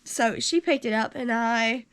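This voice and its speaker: A young woman in her twenties telling a personal story to camera, animated, rambling with fillers and jumping up in pitch for quoted lines. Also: measured pace, smooth. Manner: rambling with many fillers and restarts. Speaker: vlogger.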